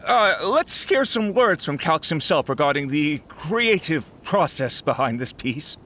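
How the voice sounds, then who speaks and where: Bright, high-voiced festival announcer. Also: male voice, big pitch swings, takes it slowly, a little hiss or hum, exaggerated voice. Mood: flustered. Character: eager, formal male festival announcer, easily flustered. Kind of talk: anime-style game acting, public announcement.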